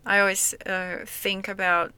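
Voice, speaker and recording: A woman's voice: mid-range voice, little pitch movement, unhurried, sharp tone, clear, resonant tone. A woman hosting a podcast in English, chatty and searching for words, laughing often. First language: not English. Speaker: podcast host. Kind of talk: casual conversation.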